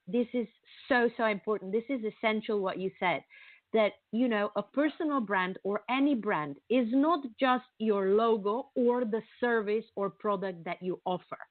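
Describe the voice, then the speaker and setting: A woman's voice: on the high side, dry recording. A woman from Slovenia with a Slovenian accent, a marketing coach guest explaining her ideas earnestly in long sentences full of fillers. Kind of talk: conversational interview. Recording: tinny phone-line sound, dry.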